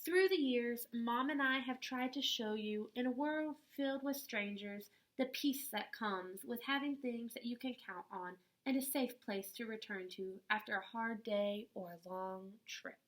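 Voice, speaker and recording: A woman reading a picture book aloud to young children in an expressive, deliberate way, like a teacher at storytime. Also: a little high, poor audio.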